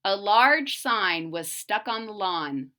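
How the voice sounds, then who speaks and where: A woman reading a picture book aloud to children in a dramatic way, pausing to put questions to young listeners. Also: mid-range voice, expressive, sharp tone.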